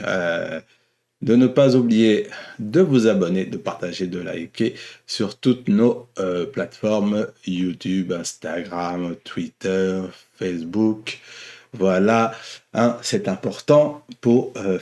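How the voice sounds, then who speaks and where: A man's voice: on the low side, a little mellow. A man hosting an online vlog, talking off the cuff in a casual, rambling way, often repeating and rephrasing his words. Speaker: host of an online opinion vlog. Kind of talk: casual unscripted opinion monologue.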